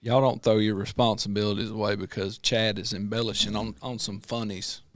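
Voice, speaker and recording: A man's voice: lowish voice, little pitch movement, a little fast, no echo. A man co-hosting a podcast, teasing his friends with folksy phrasing in casual chat. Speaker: podcast co-host.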